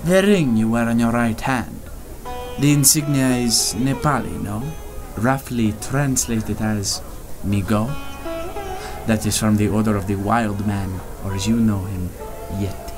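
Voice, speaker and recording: An actor playing a sly, menacing male informant who bargains slowly in a 1930s-style radio serial. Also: on the low side, lightly acted. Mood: sinister. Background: music.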